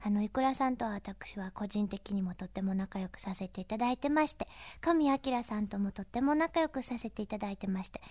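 A woman's voice: mid-range voice, fairly quick, few pauses, darkish tone, no room sound. A woman hosting a chatty interview, speaking politely with lots of reactive interjections. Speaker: radio show host interviewing a guest. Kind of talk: chatty interview for an anime fan CD.

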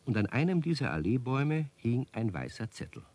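A man narrating a children's radio play as an unhurried storyteller. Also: mid-range voice, big pitch swings, darkish tone, natural voice. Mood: storytelling. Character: unhurried male storyteller addressing young listeners.

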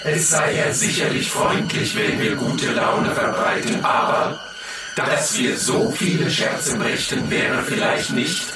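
A man performing a declamatory announcer in a radio play, speaking slowly and emphatically. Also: a little high. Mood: declamatory, upbeat. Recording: very echoey, distant, loud music behind.

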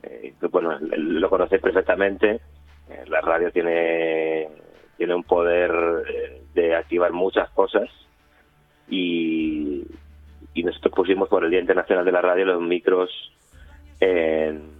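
A man's voice: sits low, steady tone, measured pace, no echo. A man with an accent from Spain answering interview questions over the phone, hesitantly searching for words. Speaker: radio interview guest, community radio member. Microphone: phone call. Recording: tinny phone line with music behind.

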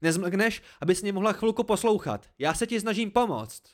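A man's voice: medium pitch, lightly acted. A man voicing a hot-tempered, hurt and sarcastic young man in an audiobook story, barking cutting retorts. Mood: furious.

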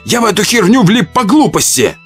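A man acts out a scared surgeon, panicky and defensive, with a raised, very lively pitch. It is a horror audiobook with music underneath. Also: fairly quick, slightly rough, exaggerated voice. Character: frightened, self-excusing surgeon caught up in a cult.

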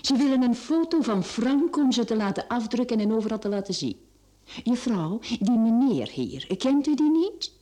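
An actress with a Flemish accent playing a teasing, flirtatious middle-aged mistress in a radio drama, coaxing and sly. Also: female voice, a little high, big pitch swings, moderate pace, dark tone. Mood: amused, giggly.

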